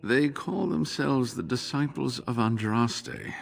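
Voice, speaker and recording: An actor playing an older, injured male scholar in a video game, weary and sarcastic, talking in a measured way. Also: medium pitch, vocal fry. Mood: thoughtful. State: injured, exhausted. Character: older male scholar, wounded captive, wry. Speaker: game character.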